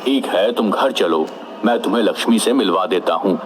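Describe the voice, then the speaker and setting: A man's voice: lowish voice, moderate pace, noisy background, lightly acted. A man voicing a middle-aged village father, concerned and firm. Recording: music behind the voice.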